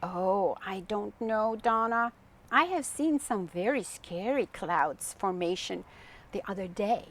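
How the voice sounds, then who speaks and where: A woman's voice: medium pitch, expressive, takes it slowly. A woman voicing a timid, easily awed storybook child, anxious and dramatic. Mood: awed, nervous.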